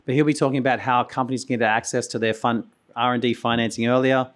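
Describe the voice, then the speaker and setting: Hoarse male conference host, mid-pitched, rambling keynote with restarts and coughs, voice going. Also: level tone, fast. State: hoarse, losing his voice. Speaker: conference host and company founder. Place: conference venue.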